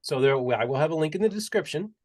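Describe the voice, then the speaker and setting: A man's voice: fairly high pitch, animated, fast talker, a little mellow. A male podcast host chatting casually and enthusiastically, often repeating and restarting his words. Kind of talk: casual interview chat.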